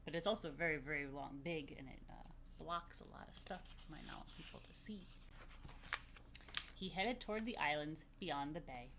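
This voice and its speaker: A woman's voice: sits low, moderate pace, background noise. A woman rabbi leading a children's story time, reading aloud and adding playful, simply worded asides with plenty of repetition. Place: virtual story time recorded in a room.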